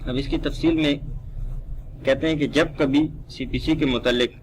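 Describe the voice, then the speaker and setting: A man with a Pakistani accent teaching a law lesson, reading text aloud and explaining it in a deliberate, didactic way. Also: medium pitch, steady tone, noisy background.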